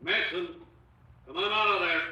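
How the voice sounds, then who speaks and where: An actor playing a stern policeman calling out a deliberate, commanding ultimatum in a 1950s radio crime drama. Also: sits high, bright, forceful tone. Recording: distant, echoing, dull 1950s radio recording.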